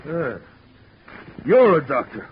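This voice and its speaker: A man's voice: fairly high pitch, sing-song, slow pace, a little hiss or hum. A man playing a confused, unstable ex-convict in a 1940s radio drama, stammering and paranoid, on a dull old broadcast recording. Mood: menacing. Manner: stammering, paranoid, swings from dazed to threatening.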